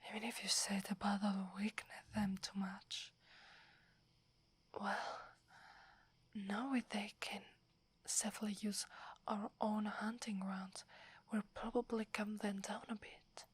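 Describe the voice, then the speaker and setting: A woman with an Italian accent voicing a loyal, deferential young werewolf warrior in a breathy, hesitant ASMR whisper roleplay. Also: mid-range voice. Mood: questioning, thoughtful. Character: loyal, eager young female werewolf warrior devoted to her alpha.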